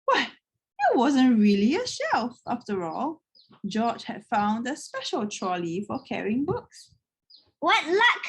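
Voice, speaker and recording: A woman reading a children's picture book aloud in an expressive way, as if to young listeners. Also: fairly high pitch, slow pace, background noise. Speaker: read-aloud storyteller.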